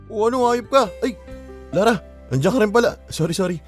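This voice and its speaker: An actor playing a young man in a radio drama who reacts with quick exclamations. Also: high-pitched, expressive. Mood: surprised. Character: young man, stunned and excitable. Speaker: letter sender in a radio drama. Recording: radio drama with clear music underneath.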